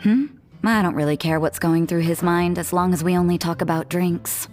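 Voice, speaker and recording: An actress playing a cool, blunt young nun in a video game dub, dry and offhand. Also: female voice, lowish voice, fairly even intonation, moderate pace, darkish tone, faint music behind, close to an everyday voice.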